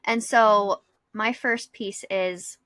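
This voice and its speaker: A young adult woman shares personal thoughts in a casual podcast conversation. Her voice sits at an average pitch, and she speaks in an informal, reflective way with very little room sound. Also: fairly even intonation, slow, a bit bright, no echo. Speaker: podcast co-host; school psychologist.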